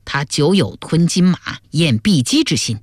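Man acting a scheming middle-aged king, low and husky. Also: big pitch swings, moderate pace, no echo, studio quality. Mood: stern. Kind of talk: dramatised Chinese historical audiobook.

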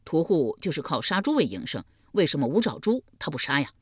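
Woman voicing a kind young lord, earnest, gentle, low-ish voice, dry close sound. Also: sing-song, fairly quick, slightly rough, dry recording, full character voice. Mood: curious. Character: kind-hearted young nobleman, earnest and brave.

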